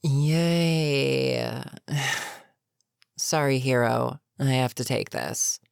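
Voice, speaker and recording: A voice actor playing an older, experienced villain in an audio roleplay, weary and dryly sarcastic but reluctantly protective, with a wry, deliberate delivery. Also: mid-range voice, fairly even intonation, vocal fry, clear, resonant tone, no room sound, studio quality, full character voice. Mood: weary, pondering. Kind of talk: intimate audio roleplay monologue.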